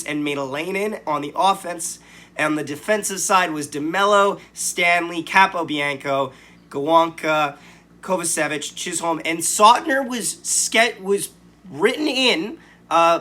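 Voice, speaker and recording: Bright, scratchy-voiced man hosting sports recap. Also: fairly high pitch. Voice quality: slightly scratchy voice. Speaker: sports podcast host. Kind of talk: hockey game recap commentary to camera.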